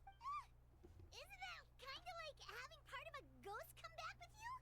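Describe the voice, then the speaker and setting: A woman voicing a small, excitable, childlike fairy companion in an anime video game, with a squeaky voice. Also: moderate pace, background noise. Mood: alarmed. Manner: refers to self in third person, exclaiming. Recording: music behind the voice.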